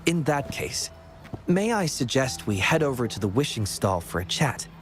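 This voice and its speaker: A man playing a gentle, modest young scientist in a video game, speaking in a polite, measured, reassuring way. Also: mid-range voice, very animated, noisy background, natural voice. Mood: polite, warm. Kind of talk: video game character acting.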